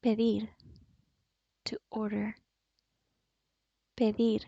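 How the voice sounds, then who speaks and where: A woman with a Spanish accent, a native Spanish speaker, teaching Spanish as a language teacher and pronouncing each word slowly and clearly. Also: a little high, monotone, mellow, dry recording. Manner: slow, clearly enunciated word drills. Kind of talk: language lesson. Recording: dull, dry voice-over.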